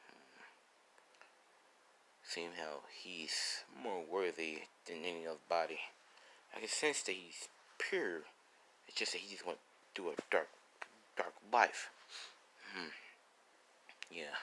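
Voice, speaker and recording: A man voicing a mysterious, gruff older recluse who mentors, speaking in a slow, brooding mutter, in amateur storytelling. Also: low-pitched, lively intonation, sharp tone, a little hiss or hum, pitched below the performer's own voice, heavily acted, poor audio.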